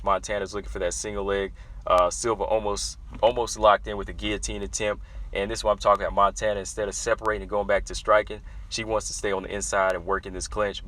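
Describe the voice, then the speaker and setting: Young man, fight analysis vlogger, low pitch, forceful bright tone, casual chatty commentary. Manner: animated, talks straight to viewers with "y'all".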